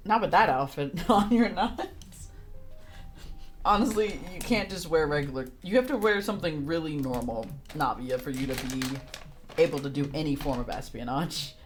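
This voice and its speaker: A woman's voice: low voice, lively intonation, normal speed. A woman streamer doing casual, playful game commentary and reading dialogue along in a teasing, reactive way. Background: game music.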